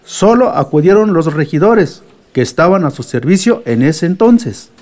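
Actor as blunt villager, lively high-pitched delivery. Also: male voice, moderate pace, close to an everyday voice. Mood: accusing. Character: blunt, traditionalist male villager at a communal assembly. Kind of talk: radio drama acting.

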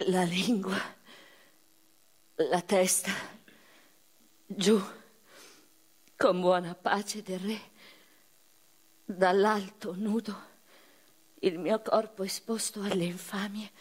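A woman acting in radio theatre, delivering a dramatic first-person monologue as a proud, reflective noblewoman with deliberate phrasing. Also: mid-range voice, steady tone, breathy edge. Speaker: actress in a radio play.

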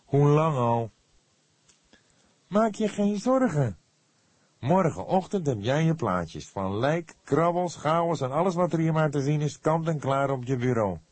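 A man plays a mocking police photographer in a crime story. He pushes his voice up high and loud, sounding brash and cynical. His scorn comes across as offhand, with a husky edge. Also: lively intonation, unhurried, dry recording. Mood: breezy, reassuring.

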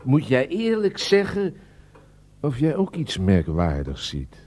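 An actor playing a fussy, agitated window-cleaner in a radio play. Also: male voice, medium pitch, wildly swooping pitch, slow, a little mellow, exaggerated voice. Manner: agitated, insistent, theatrical.

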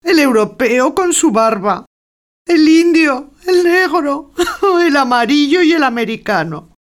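A devoted maidservant in a theatrical radio play. Her voice is very high and drawn out, full of scandalised, tearful exclamation while staying deferential to her master. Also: animated, measured pace. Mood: scandalised, wailing. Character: devoted, scandalised, tearful female household servant. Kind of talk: theatrical radio drama acting.

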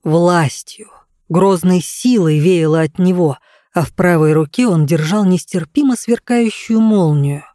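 A woman's voice: fairly low pitch, a little slow, mellow, no room sound. Woman storyteller, closely recorded and dry. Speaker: audiobook narrator. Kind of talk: expressive scripted storytelling.

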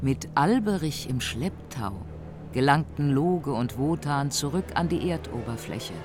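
A man narrating a scripted fantasy radio play as a measured storyteller. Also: fairly high pitch, lively intonation. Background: music.

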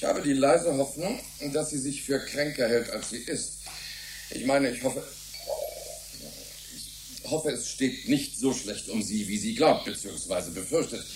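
A man's voice: medium pitch, unhurried, a little hiss or hum, close to an everyday voice. An actor playing a genial, erudite older scientist in a German radio drama, savoring his words in a fatherly way. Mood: worried. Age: middle-aged. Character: genial, enthusiastic older titled scientist, Michael's fatherly senior.

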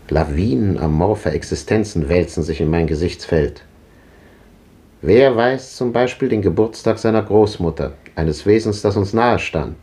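An old gentleman in a 1950s radio play, grumbling about his eyes and about missing dates. His voice is low and mellow, and he rambles on wryly. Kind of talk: 1950s radio drama acting.

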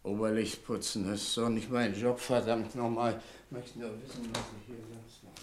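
A man's voice: mid-range voice, flat delivery, moderate pace, slight background noise, natural voice. An actor playing a reluctant, grumbling bank employee in a German radio play, hesitant and sullen with his superior.

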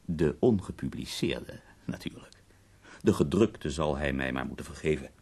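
A bedridden poet near death in a radio play. His voice is extremely low, gravelly and husky, and he speaks with wry, theatrical eloquence, leaving weary pauses between phrases. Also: lively intonation, darkish tone, heavily acted. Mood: ironic confiding. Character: bedridden, dying poet, ironic, complaining and theatrical. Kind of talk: radio drama acting.

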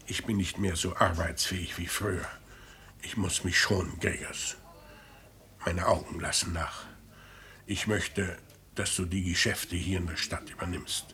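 Low-voiced, imperious aging father. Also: fairly even intonation, pushed and bright. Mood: measured, persuasive. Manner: measured, formal, flaring into indignation. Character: aging, imperious merchant father, defensive, lonely, calculating. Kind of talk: radio play acting of classic stage drama.

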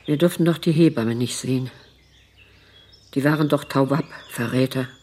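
Tired stubborn older mom, really husky voice, talks in reticent bits, natural radio play. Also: female voice, a bass voice, normal speed, darkish tone. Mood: weary, withdrawn. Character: weary, headstrong older mother with painful memories. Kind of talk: naturalistic radio drama acting.

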